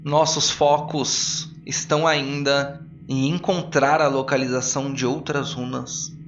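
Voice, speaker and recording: Homem fazendo um mestre arcano solene, pausado. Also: male voice, medium pitch, steady tone, far from the mic, muffled recording, faint music behind, close to an everyday voice. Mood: solemn, firm. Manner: formal, deliberate, authoritative.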